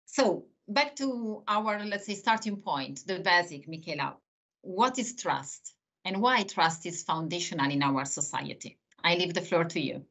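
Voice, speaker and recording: A woman with a lowish voice hosts a webinar over a video call. Her delivery is hesitant, with frequent fillers between her phrases. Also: lively intonation, unhurried, a bit bright. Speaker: host. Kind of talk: webinar moderation.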